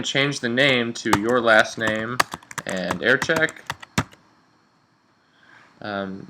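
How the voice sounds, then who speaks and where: Bright-voiced man giving a software tutorial. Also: fairly low pitch. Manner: informal, instructional. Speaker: radio instructor. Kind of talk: software tutorial narration, step-by-step explaining.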